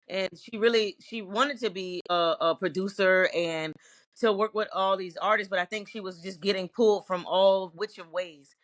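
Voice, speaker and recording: A woman's voice: medium pitch, fairly bright tone, no room sound. A middle-aged woman singer from Virginia, answering interview questions in a reflective, earnest way with frequent restarts.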